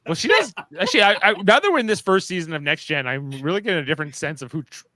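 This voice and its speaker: A man's voice: sits high, lively intonation, racing, bright, forceful tone, dry recording, studio quality. A man hosting a casual fan podcast chat, talking in a rambling, joking way.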